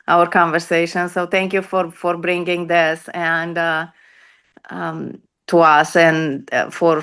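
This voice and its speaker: A woman's voice: on the low side, fairly bright tone, smooth. A woman, a chaplain, speaking up hesitantly on a video call and often repeating her words. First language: Romanian. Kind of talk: question from the audience on a video call.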